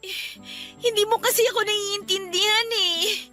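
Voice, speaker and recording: Actress as a high, bright, plaintive young girlfriend. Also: steady tone, measured pace, soft background music, heavily acted. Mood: tearful, sulky. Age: young adult. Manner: plaintive, sulky. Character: upset, needy young girlfriend who ran away from home. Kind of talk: Tagalog radio love drama acting.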